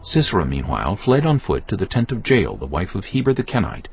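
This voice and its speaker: A man narrating scripture as a dramatised story, solemn and measured in his delivery. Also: low-pitched, wildly swooping pitch, vocal fry. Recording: dull audio with music behind. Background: music.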